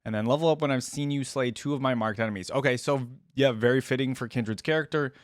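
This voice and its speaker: A man's voice: mid-range voice, fast talker, dry recording, studio quality. A man hosting a reaction video, commenting casually and thinking aloud in a tentative, conversational way.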